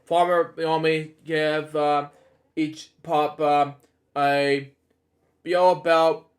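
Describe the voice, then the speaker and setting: Slow, halting man reading aloud. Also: fairly high pitch, flat delivery. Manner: halting, stumbling, rambling. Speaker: host reading bedtime stories. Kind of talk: casual read-aloud with off-the-cuff asides.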